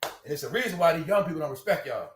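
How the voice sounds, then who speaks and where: Brooklyn guy going off on his stream, cussing, high voice, bro this y'all that. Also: lively intonation, fast talker. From Brooklyn, New York. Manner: heated and profane, keeps addressing the audience with "y'all" and "bro". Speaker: livestream host. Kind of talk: ranting livestream commentary.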